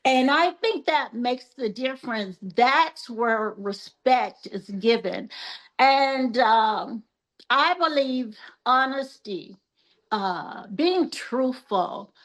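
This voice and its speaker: Slow, soft-spoken older woman. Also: fairly high pitch, expressive, poor audio. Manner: soft-spoken, measured and earnest, with pauses and fillers. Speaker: podcast guest. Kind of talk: reflective interview talk.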